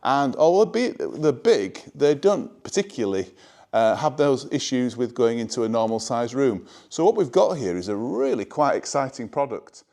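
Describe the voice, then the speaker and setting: A man's voice: mid-range voice, sing-song, normal speed, gravelly, darkish tone. Man presenting a product. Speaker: hi-fi showroom presenter. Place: indoor hi-fi showroom.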